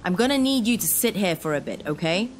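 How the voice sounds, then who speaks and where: A woman's voice: mid-range voice, lively intonation, slight background noise, pitched above the performer's own voice, lightly acted. A woman voicing a firm male police officer at a crash scene. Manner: brisk, commanding.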